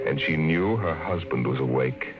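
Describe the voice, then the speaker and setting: A man narrating a radio drama in a measured storytelling manner. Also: very deep voice, soft background music. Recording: muffled 1950s radio broadcast.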